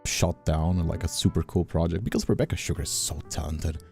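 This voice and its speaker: A man chatting casually on a livestream, emphatic and animated, often repeating himself as he makes a point. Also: deep voice, brisk, vocal fry, soft background music. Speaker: streamer. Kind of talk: casual conversational chat on a livestream.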